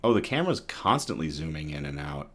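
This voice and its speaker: A man's voice: very deep voice, big pitch swings, a little fast, a bit bright. A man hosting a good-news show, reading news articles aloud and breaking off for casual asides to his live chat. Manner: stumbles and restarts over technical terms.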